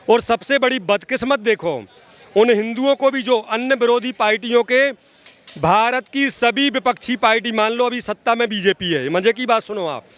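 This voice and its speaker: A man's voice: very high voice, a bit bright, clear, resonant tone. A man giving impassioned, opinionated political commentary as an activist in an interview, emphatic, repeating phrases and addressing the listener directly. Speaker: social activist being interviewed.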